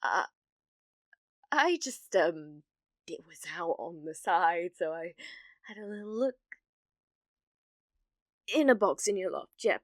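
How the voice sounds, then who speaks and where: A woman acting a slow-talking, obsessive British witch. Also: fairly low pitch, lively intonation, no echo, clean studio sound. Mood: flustered, nervous. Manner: flustered, stammering, hesitant, breathy murmuring asides. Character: clumsy, obsessive lovestruck young witch, a bad liar. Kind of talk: ASMR roleplay acting.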